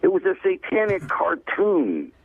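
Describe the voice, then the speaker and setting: Gruff croaky guy phoning a radio show, tinny line, swears, keeps butting in. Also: sits high, wildly swooping pitch, a little slow, no echo. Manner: profane, insistent, cuts in. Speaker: talent representative calling into a radio show. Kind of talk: casual phone-in chat. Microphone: telephone. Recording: muffled narrow phone line.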